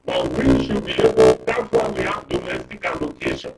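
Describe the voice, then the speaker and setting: A man's voice: a bass voice, monotone, normal speed, loud music behind the voice. A Nigerian-accented man explaining emphatically as a panel guest, building his points step by step with check questions. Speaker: panel guest, expert commentator. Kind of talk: explanatory live discussion with everyday analogies. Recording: roomy, distant mic, clear noise and clicks.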